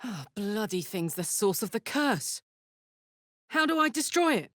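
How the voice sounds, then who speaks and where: Brash, big-hearted British warrior woman for a fantasy game. Blunt, earthy delivery, mid-pitched voice, very dry recording. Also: very animated, a little slow, a bit bright, dry recording. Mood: alert, matter-of-fact. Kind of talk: fantasy video game voice acting.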